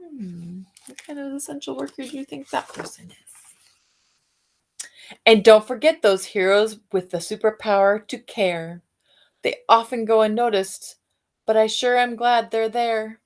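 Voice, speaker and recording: A woman reading a picture book aloud to children, expressive, with soft musing questions for young listeners. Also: on the high side, measured pace. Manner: expressive reading, alternating with softer musing asides and hums. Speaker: read-aloud storyteller for children.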